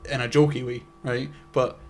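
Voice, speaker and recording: A young man chatting loosely on a podcast. He rambles and doubles back on himself, adding wry side comments. His pitch sits in the middle, with faint noise behind him. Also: level tone, moderate pace, darkish tone. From Scotland. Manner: rambling, self-interrupting and wry. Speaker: podcast co-host. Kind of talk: casual chat.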